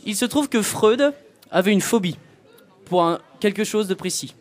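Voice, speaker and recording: A man's voice: sits high, expressive, moderate pace, faint music behind. A young man co-hosting a student radio quiz, asking questions with lots of hesitant fillers. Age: young adult. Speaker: quiz co-host.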